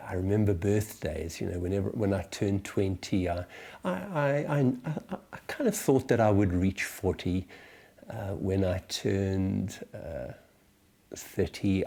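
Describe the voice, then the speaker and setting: Slow, deep-voiced older South African man teaching. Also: dark tone. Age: 60s. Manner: measured, deliberate, with frequent hesitations and pauses. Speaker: church teacher leading a series. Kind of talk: reflective spoken teaching for small groups.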